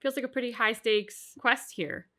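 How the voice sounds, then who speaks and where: A woman's voice: a little high, steady tone, normal speed, pushed and bright. A woman co-hosting a reaction show in casual, conversational chat. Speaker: reaction channel co-host.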